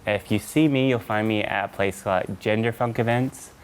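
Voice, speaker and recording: A man's voice: on the low side, normal speed, a little hiss or hum. A man in his thirties from New City, a guest on a talk show, explaining things earnestly with frequent fillers. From New York City, USA. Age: 30s. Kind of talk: casual interview talk.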